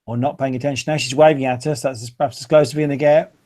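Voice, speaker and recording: A man's voice: mid-range voice, steady tone, rapid-fire, a little mellow. A man hosting a webinar call, introducing guests with friendly, hesitant fillers. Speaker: webinar host. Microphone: video call.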